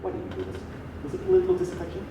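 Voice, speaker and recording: A man's voice: huge pitch swings, racing, dull audio, full character voice. An actor on stage playing an aggrieved, doomed male revolutionary leader with theatrical, declamatory delivery. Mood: indignant, exasperated. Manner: declamatory, swinging between outrage and wistful musing. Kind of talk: theatrical comic stage acting. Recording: echoey hall from far off, noisy low-quality audio.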